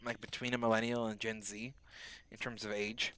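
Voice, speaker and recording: A man's voice: lowish voice, flat delivery, normal speed, a bit bright, clean tone, poor audio. A man in his twenties casually chatting with his live-stream chat while playing a game, rambling and answering messages, a little sick. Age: 20s. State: a bit sick, on bed rest.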